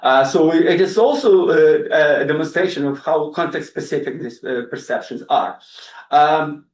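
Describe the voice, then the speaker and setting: A man giving an academic lecture as guest speaker, halting, with many fillers and repeated words. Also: fairly high pitch. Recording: roomy, distant lecture-hall sound.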